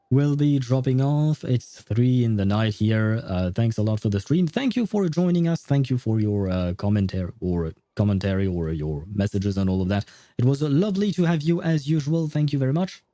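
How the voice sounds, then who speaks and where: A man's voice: mid-range voice, normal speed, mellow, no room sound, studio quality. A man who is a non-native English speaker chats casually with his livestream viewers in a polite, hesitant, rambling way. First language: not English. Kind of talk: casual livestream chat with viewers over gameplay. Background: faint game music.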